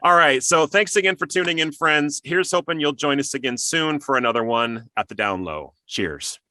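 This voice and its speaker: Bright-voiced man hosting a podcast interview. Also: fairly high pitch, normal speed, no room sound. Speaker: interview show host.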